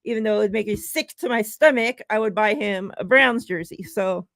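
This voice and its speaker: A woman's voice: medium pitch, normal speed, a bit bright, no echo. A woman hosting a casual live-stream chat, laughing often and reading out giveaway numbers. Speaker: show host.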